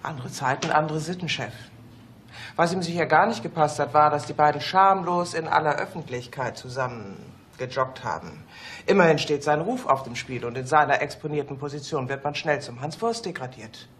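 A man's voice: a little high, animated, normal speed, a bit bright, slight background noise. A man acting in a German radio play as a shrewd, outspoken police colleague with a dry, matter-of-fact manner.

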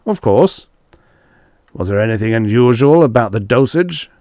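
A man's voice: mid-range voice, expressive, normal speed, dark tone, dry recording, clean studio sound, voice pushed up, lightly acted. A man voicing a nervous, defensive young doctor who protests in a pleading, flustered way, in a dramatic story reading. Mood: puzzled.

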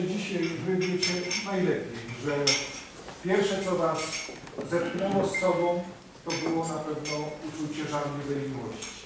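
A man in his fifties giving a solemn, deliberate ceremonial speech as a town mayor, often repeating phrases. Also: fairly high pitch. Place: large hall at a public ceremony. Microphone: distant camera microphone. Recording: echoey hall from far off, noisy.

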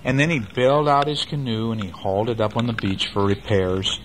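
An older man reading a children's book aloud at home and chatting gently with a child between passages. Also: fairly low pitch, fairly even intonation, brisk, background noise. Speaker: father reading a bedtime story. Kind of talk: bedtime story reading to a child.

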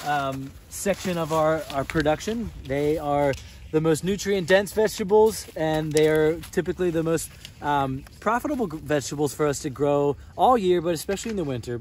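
A man's voice: fairly high pitch, normal speed, a little mellow, a little hiss or hum. A young man, a farmer, giving an earnest on-site tour outdoors on a snowy field, explaining with frequent fillers. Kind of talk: on-site farm tour interview.

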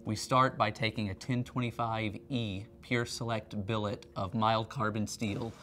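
A man's voice: lowish voice, little pitch movement, a little slow, background noise. A man in his thirties giving a conversational, explanatory promotional talk as a company presenter. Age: 30s. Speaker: company R&D representative, factory tour presenter. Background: background music.

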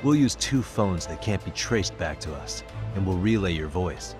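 A man's voice: low-pitched, animated, moderate pace, music in the background. An actor playing a cool, decisive detective in his thirties, terse and matter-of-fact, in a video game drama. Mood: businesslike.

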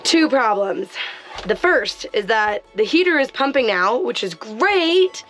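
A young woman chatting casually to a handheld camera inside a small camper van, telling the story in an animated way, with music underneath. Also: fairly high pitch, measured pace. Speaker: van life vlogger. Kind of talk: casual vlog chat. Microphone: handheld action camera at arm's length.

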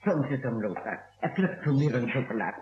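An actor playing a fretful, apologetic middle-aged caretaker in an old radio play. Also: male voice, mid-range voice, expressive, fast talker, lightly acted. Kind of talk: 1960s radio play acting. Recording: dull echoey old radio recording.

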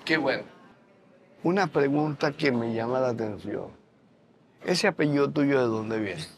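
A man's voice: medium pitch, lively intonation, measured pace. An older man from Venezuela hosting a casual interview at a dining table, echoing his guests' answers back. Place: bright room at a dining table. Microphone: lapel mic.

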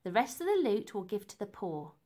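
A woman's voice: medium pitch, big pitch swings, fairly quick, warm tone. A woman voicing a bold, cheerful girl pickpocket from a storybook, emphatic and eager. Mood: excited.

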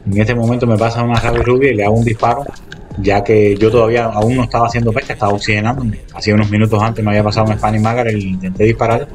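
A man with a slightly low voice rattles through a casual voice-over of his own diving trip, talking quickly to his viewers. He restarts his sentences often and wanders from point to point. Loud music plays under everything he says. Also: flat delivery. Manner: rambling, restarts often, addresses viewers directly. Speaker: spearfishing vlogger.

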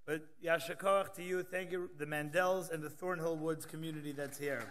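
A man's voice: on the high side, fairly even intonation, moderate pace, a bit bright, a little hiss or hum. A man in his thirties emceeing a conference, reading introductions from notes with joking asides at a podium microphone in a large hall. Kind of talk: scripted introductions with light jokes.

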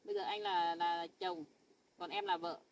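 A woman's voice: fairly low pitch, fairly even intonation, normal speed, far from the mic, lo-fi sound, a little hiss or hum, lightly acted. A woman playing a jealous young wife who talks in an insistent, accusing, threatening way in an amateur family skit. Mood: complaining. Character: jealous young wife, sharp-tongued and stubborn.

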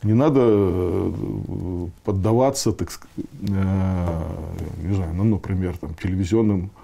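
A man in his sixties giving conversational interview answers, explaining haltingly and posing rhetorical questions. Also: low voice, gravelly, mellow. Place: meeting room, indoors.

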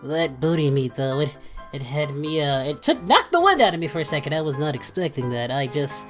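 Female actor as boyish cartoon fighter hosting reactions: excitable, goofy, theatrical, lower register, very animated delivery. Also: fairly quick, soft background music. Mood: flustered, amused. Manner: theatrical, exclamatory, prone to squeals. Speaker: reaction video host. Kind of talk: casual excitable reaction commentary.

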